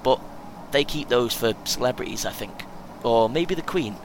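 A man's voice: lively intonation, moderate pace, lightly acted. A man playing a cheeky, chatty British runner in his twenties in an audio play, with a casual, laddish manner. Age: young adult. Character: cheeky, chatty young male marathon runner, 23. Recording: clear vehicle rumble noise. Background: vehicle ambience.

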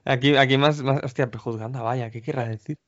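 A man's voice: medium pitch, fairly even intonation, fast. A man co-hosting a casual podcast chat, speaking in a blunt, joking, provocative way. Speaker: podcast co-host. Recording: dry muffled lo-fi audio.

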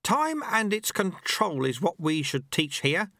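A man's voice: sits high, sing-song, a little slow, bright, forceful tone, dry recording, pitched above the performer's own voice, full character voice. A man voicing a sly old male monk and martial arts master, dry and mocking in an audiobook. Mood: lecturing. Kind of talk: audiobook character voice.

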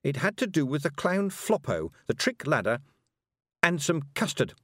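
A man's voice: a little high, very animated, moderate pace, sharp tone, no echo, studio quality, voice pushed up, exaggerated voice. A man voicing a headstrong young girl witch, impatient and scornful. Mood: defiant.

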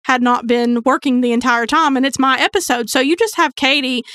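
A woman's voice: sits high, brisk, a bit bright, no echo. A woman co-hosting a podcast, chatting casually and informally with wry banter. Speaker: podcast co-host. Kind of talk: casual true crime podcast chat.

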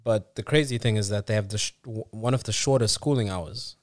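A man from Africa with a lowish voice talks through his point in a casual podcast chat. He restarts his sentences and drops in "you know" often. The sound is close and dry, with no room around it. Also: fairly quick, dry recording. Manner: explains at length with frequent restarts and "you know". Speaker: podcast co-host.

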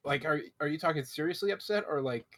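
Husky-voiced young man guest. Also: on the high side, fast, fairly bright tone. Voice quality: husky morning voice. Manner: giggly, self-deprecating. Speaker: podcast guest. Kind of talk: casual podcast chat.

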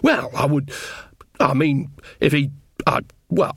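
A man's voice: a little high, lively intonation, slow, vocal fry, a bit bright, breathy edge, no echo, studio quality, full character voice. A man voicing an earnest, flustered younger witch woman in an audiobook, hesitant and plaintive.

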